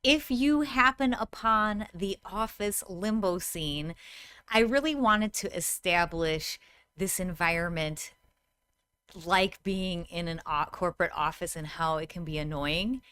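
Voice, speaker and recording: A woman's voice: lowish voice, measured pace, fairly bright tone, clean tone, dry recording. Woman explaining things in a conversational tutorial. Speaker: host, VR game designer.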